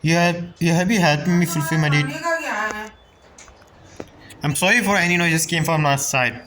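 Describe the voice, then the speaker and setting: A man's voice: fairly high pitch, fairly even intonation, normal speed, crowd noise. A man giving casual reaction commentary on a game, often repeating short exclamations. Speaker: gameplay streamer. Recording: game music under the voice. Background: game music.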